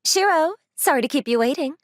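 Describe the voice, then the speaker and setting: A woman's voice: high-pitched, lively intonation, fairly quick. A woman playing a confident, teasing young girlfriend in a romcom manga dub, speaking bluntly and making playful demands.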